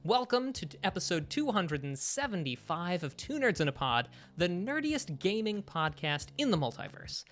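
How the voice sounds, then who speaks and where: A man's voice: sits high, sing-song, moderate pace, hardly any pauses, a bit bright. A man hosting a gaming podcast, opening with showy, playful announcer flair and easy banter. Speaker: podcast host.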